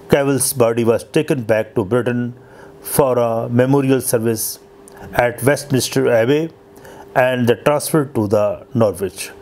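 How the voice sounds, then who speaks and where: A man reading a scripted history narration as host, in a halting, stumbling way. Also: mid-range voice, darkish tone, slight background noise.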